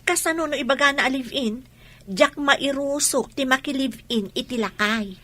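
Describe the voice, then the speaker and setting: A young student, speaks in a high, slightly breathy voice with a Filipino accent. She is playful but cautious, polite to elders and chatty with her friend, in radio drama style. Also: normal speed, sharp tone. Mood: teasing, wary. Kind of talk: Ilocano radio drama acting.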